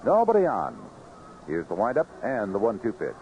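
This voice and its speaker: An American man calling baseball play-by-play live on the radio in clipped phrases, in an animated manner. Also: on the low side, moderate pace. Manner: animated calls rising sharply on big plays, clipped phrases. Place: ballpark broadcast booth. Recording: noisy, muffled vintage AM radio broadcast.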